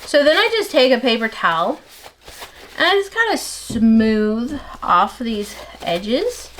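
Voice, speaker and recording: A middle-aged woman soap maker with a slightly nasal voice, chatting casually and rambling as she works, talking fast without pausing for breath. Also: on the high side, very animated, a bit bright, slight background noise. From United States. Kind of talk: casual chat while working.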